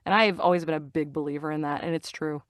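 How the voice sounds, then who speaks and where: A middle-aged woman, a voice actor and narrator, chatting as a guest over a video call, bubbly and full of laughs, pausing on fillers. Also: low voice, fairly even intonation, dry recording, studio quality. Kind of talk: casual video-call interview. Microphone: video call from a home recording studio.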